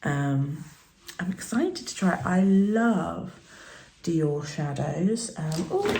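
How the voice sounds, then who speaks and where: A woman from the UK in her thirties, a beauty vlogger chatting casually while doing her makeup at home, her voice croaky from the early morning, rambling with soft laughs and plenty of fillers. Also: on the low side. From United Kingdom. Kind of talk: casual get-ready-with-me chat.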